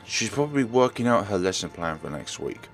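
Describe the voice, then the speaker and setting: A man's voice: on the low side, gravelly. A man doing a let's play, reading a visual novel aloud and stumbling over words before correcting himself. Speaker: let's player.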